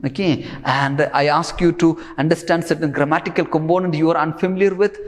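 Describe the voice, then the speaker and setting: A man in his thirties giving a recorded English lecture in front of a screen, gesturing a lot and pausing with many fillers, on a lapel mic. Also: fairly high pitch, in a large hall. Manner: animated, gesturing with both hands, many fillers. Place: studio in front of a large presentation screen.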